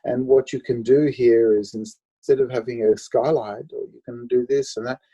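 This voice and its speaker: A man from Australia answering interview questions about his life and work, thoughtful and full of pauses and ums. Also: mid-range voice, steady tone, mellow, breathy edge, muffled recording. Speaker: guest architect.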